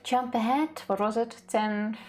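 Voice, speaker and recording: A young woman from the Netherlands, a native Dutch speaker, co-hosts a video game stream in English. She has a high voice and jokes with her co-host in quick, giggly banter. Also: a little mellow. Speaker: let's play co-host. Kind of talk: casual gaming stream chat.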